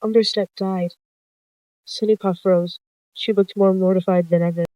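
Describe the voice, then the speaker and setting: A storyteller reads roleplay narration aloud at a middling pitch. The sound is close and dry, and the reading has occasional stumbles that are quickly corrected. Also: fairly even intonation, warm tone, clear, resonant tone, no room sound, muffled recording. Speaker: narrator of an online warrior cats roleplay video. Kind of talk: reading out text roleplay, narration and character dialogue.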